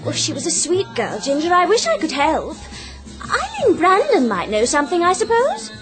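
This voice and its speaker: A woman's voice: very high-pitched, wildly swooping pitch, normal speed, music in the background, heavily acted. An actress in a radio drama playing a chatty, gossipy young woman with a girlish manner. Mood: hesitant, gossipy.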